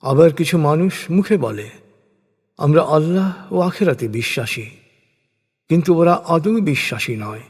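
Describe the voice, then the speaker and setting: Male narrator for solemn scripture reading: husky tone, deliberate phrasing, long reverberant tail on the voice. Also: fairly high pitch, lively intonation, darkish tone. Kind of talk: solemn scripted religious recitation of translated scripture and commentary.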